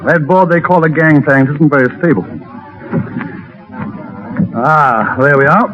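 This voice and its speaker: Actor as a suave gentleman on noisy old radio. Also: a little high, a little slow, natural voice. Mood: gallant, suave. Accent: American. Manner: assured, gallant. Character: suave, confident, helpful gentleman traveller. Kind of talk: 1930s radio acting. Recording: dull, noisy 1930s radio recording.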